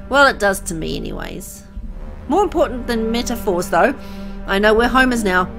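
A woman playing a weary, soft-hearted young woman who narrates her bleak town in a visual novel, reflective and conversational. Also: on the low side. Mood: weary, musing. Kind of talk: scripted first-person visual novel narration. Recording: music under the voice. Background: background music.

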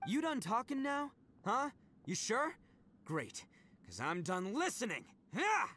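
An actor playing a cocky young oni gang boss in a video game, with a swaggering, casual manner. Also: male voice, very high voice, big pitch swings, slow pace, pushed and bright, full character voice. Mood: brash, impatient.

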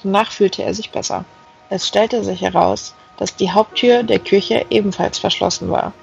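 A woman's voice: mid-range voice, steady tone, moderate pace, gravelly, soft background music. A woman reading a novel aloud as scripted narration, on a slightly dull recording. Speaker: audiobook reader.

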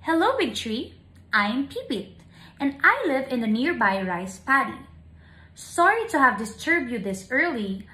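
A small, curious storybook bird as a woman reads him, chirpy and high with lively swings in pitch. He is cheerful and eager, full of questions, heard in a slightly echoey room. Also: unhurried, full character voice. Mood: cheerful, apologetic. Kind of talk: children's storybook reading.

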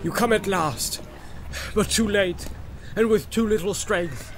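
A man's voice: high-pitched, a little slow, voice pushed up. A man voicing a weary, battle-worn rider in a fantasy audiobook, urgent and grim. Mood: grim, desperate. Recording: loud music behind. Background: loud music.

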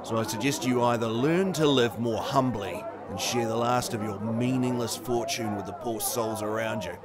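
A man's voice: medium pitch, moderate pace, close to an everyday voice. A man playing a weary, cynical leader of a survivors' camp in a sci-fi audio drama, grim and curt. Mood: grim, resigned. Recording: busy crowd ambience behind.

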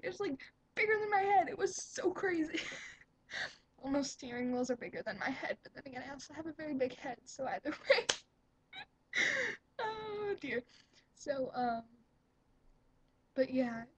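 A woman's voice: high-pitched, lively intonation, normal speed, pauses often, dry recording, rough recording. A young woman talking casually to her webcam at home, rambling upbeat with lots of fillers and giggles. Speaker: vlogger.

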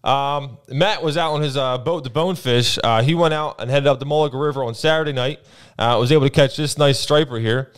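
A young man with a New Jersey accent co-hosting a fishing report, telling his weekend trips in a relaxed way full of fillers, at a boom mic in a small studio. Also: fairly quick, clean tone. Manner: relaxed storytelling with many uh and um. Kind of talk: casual fishing report chat. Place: small studio with brick wall, indoors. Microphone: boom mic on arm, headphones.

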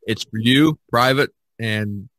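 A man talks casually as a podcast host, in a mid-pitched voice. He goes haltingly, often doubling back to restart a phrase. The sound is dry, with no room echo. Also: a bit bright.

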